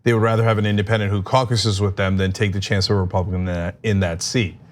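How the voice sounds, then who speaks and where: A man's voice: fairly low pitch, little pitch movement, quick pace. A man hosting a live news show, reading viewer comments aloud in a casual, wry manner.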